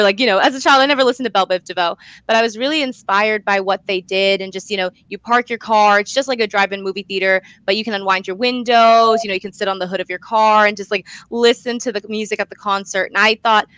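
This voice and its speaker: Young female guest, bright and expressive, chatty storytelling delivery, close dry sound. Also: medium pitch, smooth, no room sound, low-quality recording. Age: young adult. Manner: chatty, expressive, frequent "like" and "you know". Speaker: guest, young playwright and director. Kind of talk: casual interview storytelling.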